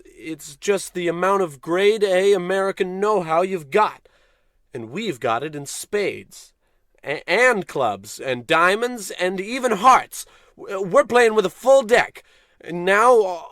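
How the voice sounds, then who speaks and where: Man playing a pompous, animated space captain. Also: high-pitched, no room sound, clean studio sound. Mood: rousing. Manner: pompous, declamatory, commanding. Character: pompous, gung-ho American space captain hero. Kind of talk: parody of old radio adventure acting.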